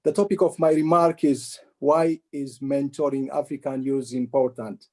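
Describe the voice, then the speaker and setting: A man from Ethiopia who works as a life coach, giving a formal, deliberate prepared speech. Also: medium pitch, fairly even intonation, a little mellow.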